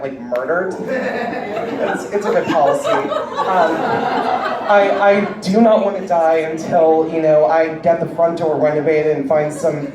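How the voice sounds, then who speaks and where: Deep-voiced woman doing stand-up. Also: noisy background. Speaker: stand-up comedian. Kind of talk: stand-up comedy set. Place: large indoor venue with live audience. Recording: echoey hall recorded from far off, loud crowd. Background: audience cheering and laughing.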